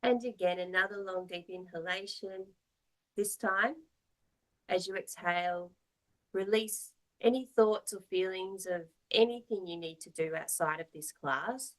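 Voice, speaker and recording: A woman teaching yoga, guiding a meditation in a soothing, measured, gently instructive manner. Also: on the low side, low-quality recording.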